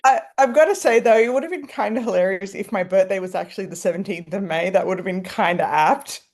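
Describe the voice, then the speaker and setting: A woman's voice: medium pitch, quick pace. A woman co-hosting a podcast, teasing and joking in casual chat. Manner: playful, teasing. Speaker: podcast co-host.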